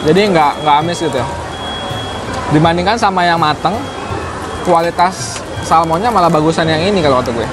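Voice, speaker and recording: A man's voice: fairly high pitch, normal speed, pauses often, over music, low-quality recording. A young man casually reviewing food as a vlogger. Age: young adult. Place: mall food court.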